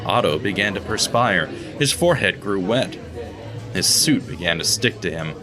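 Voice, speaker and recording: A man narrating an audio drama with expressive, steady storytelling. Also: low voice, normal speed. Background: guitar music.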